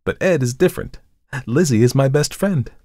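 A man voicing a merry young woman, an artist's model who chatters brightly and girlishly in a story reading. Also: mid-range voice, expressive, moderate pace, dry recording, clean studio sound, voice pushed up, natural voice. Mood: bright, boastful.

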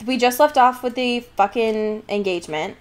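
A woman's voice: on the high side, normal speed. A woman co-hosting a podcast, chatting casually in a giggly, irreverent way as she recaps a book, swearing freely. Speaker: podcast co-host.

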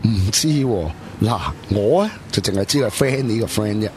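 A man's voice: on the low side, lively intonation, moderate pace, a little mellow, natural voice. Man voicing a sarcastic Hong Kong Cantonese guy, blunt, crude, faint noise behind. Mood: scornful. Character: sarcastic young man teasing friends. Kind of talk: Cantonese web radio drama.

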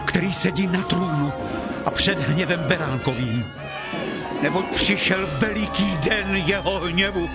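Man acting a terrified fugitive, raised pitch, desperate calling, scripture drama, loud music. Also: natural voice. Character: terrified kings and people fleeing divine wrath.

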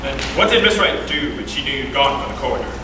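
A young man playing a brisk, patronizing attorney. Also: medium pitch, expressive, close to an everyday voice. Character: brisk condescending county attorney. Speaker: student actor. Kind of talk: amateur stage acting. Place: high school auditorium stage. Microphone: far camera in the audience. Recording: echoey hall, far off, noisy.

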